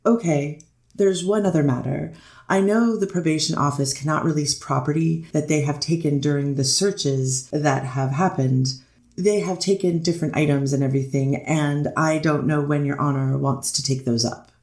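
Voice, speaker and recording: A performer plays a lawyer respectfully raising a matter in a courtroom reading. The voice is creaky with plenty of fry, in an echoey room. Delivery is polite and formal. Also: mid-range voice, moderate pace, a little mellow, lightly acted. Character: deferential lawyer addressing the judge in court. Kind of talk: readers theater reading of a court transcript.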